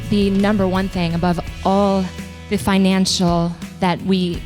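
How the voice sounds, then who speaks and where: A woman's voice: mid-range voice, darkish tone. A woman giving a personal testimonial to a group, conversational and searching for her words.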